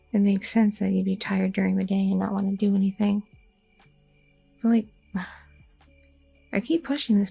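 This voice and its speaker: A young goth girlfriend, acted by a woman in an ASMR roleplay, chatty and teasingly curious. Her voice is soft-edged and mellow, with a husky grain and a crackle of vocal fry. She rambles casually in everyday slang. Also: medium pitch. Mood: musing, mildly exasperated. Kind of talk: intimate ASMR audio roleplay.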